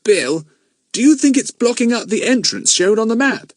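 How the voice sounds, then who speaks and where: Man doing an excitable schoolboy, high voice, quick exclamations, storybook. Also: no room sound, studio quality. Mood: excited.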